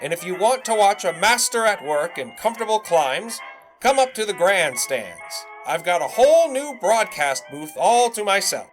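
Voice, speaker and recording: A man's voice: high voice, very animated, moderate pace, soft background music, rough recording. An actor playing a pompous young radio salesman and announcer in a 1920s audio drama, full of showy old-fashioned patter. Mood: boastful.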